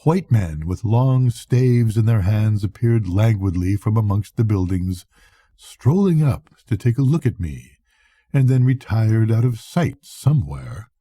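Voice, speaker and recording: Dark, husky older man reading a story. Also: on the low side, expressive, dry recording. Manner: measured, reflective first-person narration. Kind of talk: expressive bedtime audiobook reading of a classic novel.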